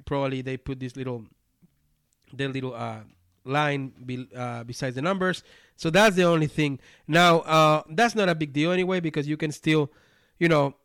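A man's voice: fairly high pitch, no room sound, clean studio sound. Spanish-accented man reviewing a board game. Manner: rambling, many restarts and fillers. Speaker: podcast host.

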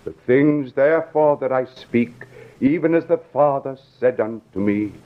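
An actor playing a solemn prophet in an old-time radio drama, preaching scripture in a measured, declamatory way. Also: male voice, medium pitch, lightly acted. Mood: solemn authority. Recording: dull old radio recording.

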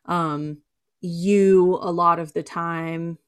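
Woman, low voice, slow pace, blunt, casual podcast chat, lots of "like". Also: fairly even intonation, smooth. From American South. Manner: blunt, swears freely, trails off with "like". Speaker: podcast co-host.

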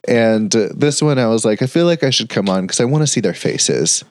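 A man's voice: medium pitch, expressive, a little fast. A man on a podcast as the guest, talking eagerly and teasing the others. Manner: enthusiastic, teasing. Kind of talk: casual chat.

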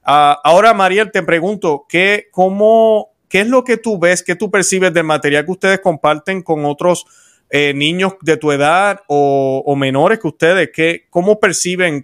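A man's voice: fairly high pitch, moderate pace. Puerto Rican man hosting with many restarts. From Puerto Rico. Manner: warm-hearted, rambling with restarts. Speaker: host. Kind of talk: faith interview show.

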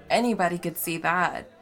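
An actress playing a loyal, straightforward, brisk friend in a comic superhero audio drama. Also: female voice, fairly low pitch, fairly bright tone. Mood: surprised. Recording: roomy, distant, music behind.

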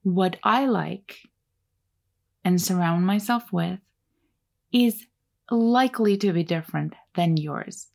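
A woman whose first language is Turkish, musing aloud in an unhurried solo talk about taste and making art. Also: fairly low pitch, creaky, darkish tone. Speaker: artist sharing creative advice.

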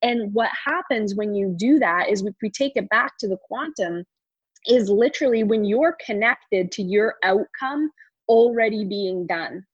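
A woman's voice: medium pitch, unhurried, breathy edge, no room sound, highs cut off. A woman speaking as a coach in a podcast interview, motivational and emphatic, repeating words for stress. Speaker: coach and course teacher.

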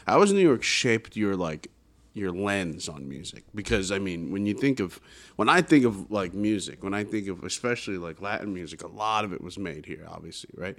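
A man with a lowish voice hosts a casual interview. He stumbles through his questions, restarting and repeating words as he goes. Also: expressive. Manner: halting, restarts often, stammers. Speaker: podcast host.

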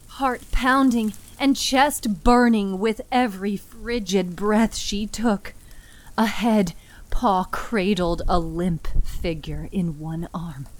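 A woman narrates a horror story very slowly and gravely, at a medium pitch. Her delivery is dramatic and deliberate, with breathy emphasis that often sinks almost to a whisper.

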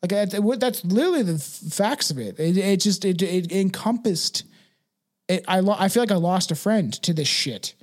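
A man's voice: sits high, expressive, moderate pace. A man hosting a podcast in casual, opinionated chat, speaking bluntly and emphatically, swearing freely and tagging phrases with "right" and "man". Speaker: podcast host.

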